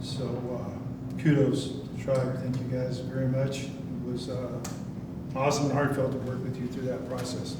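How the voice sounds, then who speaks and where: An older man in his sixties addressing a town hall crowd, folksy and rambling, with a flat delivery he calls monotone himself. His pitch is ordinary. He is heard from a distance in a very echoey hall, with clear noise behind him. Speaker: county department director. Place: community meeting hall.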